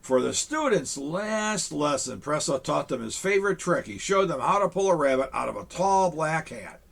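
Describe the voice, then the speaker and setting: A man reading a children's picture book aloud, with chuckling, animated asides to young listeners. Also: fairly high pitch, moderate pace, vocal fry. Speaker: storyteller in a Santa persona.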